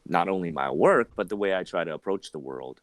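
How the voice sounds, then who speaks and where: A man in his thirties, an actor, chatting as an interview guest, rambling with lots of restarts and sudden jumps in pitch. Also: expressive, a little fast, no room sound, studio quality. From southern China. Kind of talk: casual interview talk.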